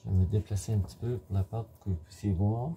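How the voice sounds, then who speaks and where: A middle-aged man giving a step-by-step cooking demonstration in a home kitchen, explaining in short, halting instructional phrases. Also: on the low side, steady tone, warm tone, a little hiss or hum. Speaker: home baker presenting a recipe.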